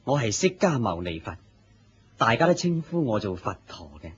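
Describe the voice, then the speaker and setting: An actor playing a serene, courteous Buddha in a Hong Kong Cantonese radio drama, speaking with composed dignity. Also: male voice, mid-range voice, very animated, moderate pace, husky, dull audio.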